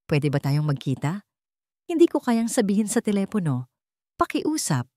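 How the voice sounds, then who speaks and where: A woman voicing a frightened, pleading woman in hushed, trembling audiobook dialogue. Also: medium pitch, very animated, normal speed, no room sound, studio quality, voice pushed up, natural voice. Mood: desperate.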